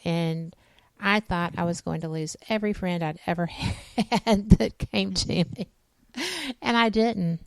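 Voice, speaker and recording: A woman's voice: fairly low pitch, a little slow, gravelly, no room sound. A woman in her late fifties, a radio host chatting frankly and casually with a co-host. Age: 50s. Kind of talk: casual chat.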